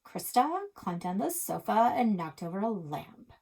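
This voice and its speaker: A woman's voice: mid-range voice, big pitch swings, a little slow, a little mellow, husky, full character voice. A woman voicing a haughty, skeptical older sister from a children's novel, scornful and challenging. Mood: accusing.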